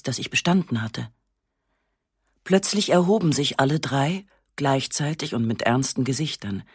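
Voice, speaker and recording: A woman reading a literary first-person story in a sober, measured, matter-of-fact way. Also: deep voice, slightly rough, no room sound, clean studio sound. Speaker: narrator.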